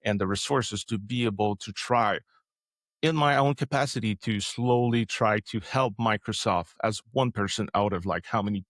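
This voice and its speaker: A man's voice: lowish voice, moderate pace, fairly bright tone, no echo. A man in his forties from Quebec, a native French speaker with a Quebec French accent, telling a long career story as an interview guest with many fillers and restarts. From Quebec, Canada. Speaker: podcast guest, developer advocate. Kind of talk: casual interview answer.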